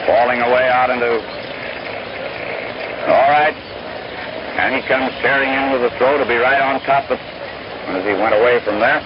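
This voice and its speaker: Male American baseball radio announcer, 1940s style, folksy play-by-play, muffled audio with clear background noise. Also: mid-range voice, fairly even intonation, normal speed, long pauses. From United States. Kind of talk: old-time radio sports play-by-play commentary. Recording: noisy, muffled 1930s-1940s radio recording.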